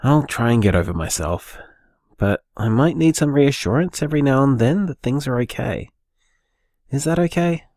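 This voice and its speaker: A man acts out an affectionate, anxious boyfriend in an audio drama. The voice is lively in pitch and recorded dry and close, with earnest, hesitant, informal phrasing. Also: moderate pace, dry recording, natural voice. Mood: worried, vulnerable. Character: anxious, affectionate, conscientious boyfriend planning a bar. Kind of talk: naturalistic audio drama monologue.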